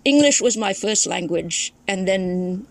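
A woman's voice: medium pitch, lively intonation, a little slow, gravelly, no echo. A woman from Thailand, a podcast guest and memoirist, recalling her family history in a reflective storytelling way, often restarting her sentences. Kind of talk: casual conversation.

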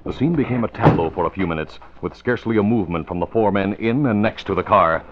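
A man's voice: lowish voice, fast, very noisy. A man giving scripted narration for a radio drama in 1940s style. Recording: muffled old radio recording.

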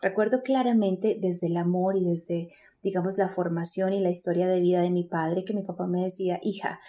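Colombian woman with a mellow voice. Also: fairly low pitch, fairly even intonation, moderate pace. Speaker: interview guest, spiritual coach. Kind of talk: reflective, motivational interview talk.

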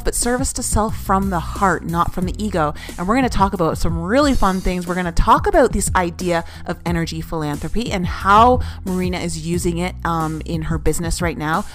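A woman's voice: fairly low pitch, normal speed, soft background music. A woman co-hosting a podcast, introducing the episode and her guest in a bubbly, upbeat way and laughing easily. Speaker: podcast co-host.

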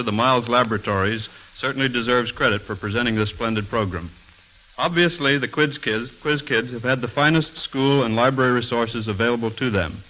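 A man's voice: medium pitch, pushed and bright, poor audio. A man speaking as a guest executive, giving formal, polite prepared remarks on an old radio broadcast.

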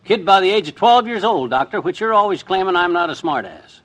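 An actor playing a boastful, scheming middle-aged husband in a vintage radio comedy, folksy and needling. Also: male voice, sits high, lively intonation, a little fast, fairly bright tone. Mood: challenging. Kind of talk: 1950s radio comedy acting.

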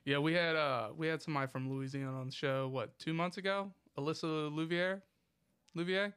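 A man's voice: fairly high pitch, fairly even intonation, fairly quick, a bit bright, clear, resonant tone. A laid-back man from Cincinnati co-hosting a podcast, calling people dude. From Cincinnati, USA. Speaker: podcast co-host. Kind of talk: casual podcast chat.

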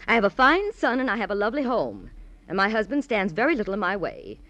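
An actress playing a genteel, self-absorbed middle-aged society woman in a radio drama, with mannered, deliberate phrasing. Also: mid-range voice, very animated, fairly bright tone, highs cut off. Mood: wistful, bitter. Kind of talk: old-time radio drama acting.

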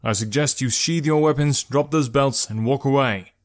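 A man's voice: medium pitch, lively intonation, fast, darkish tone, lightly acted. Stern, curt archer hero, read by a man. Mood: commanding. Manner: commanding, curt, dry. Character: stern, self-assured male archer, curt and scornful.

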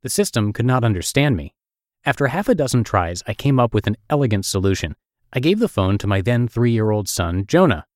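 A man's voice: lowish voice, very animated, a little fast, a little mellow, no room sound, studio quality. A middle-aged man with a Russian accent reading his own essay aloud in a wry, self-deprecating storytelling manner. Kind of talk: read-aloud essay narration.

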